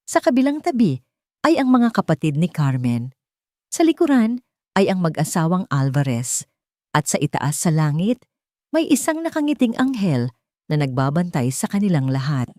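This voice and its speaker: A woman narrating a scripted sentimental drama story in a measured, dramatic storytelling manner. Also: lowish voice, big pitch swings, mellow, no echo, studio quality.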